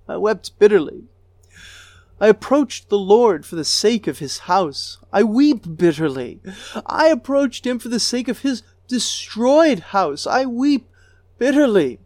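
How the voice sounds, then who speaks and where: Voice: medium pitch, dry recording, close to an everyday voice. A reader voicing a grieving goddess queen of a ruined city, lamenting with a voice that breaks into sobs. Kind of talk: dramatic recitation of an ancient lament.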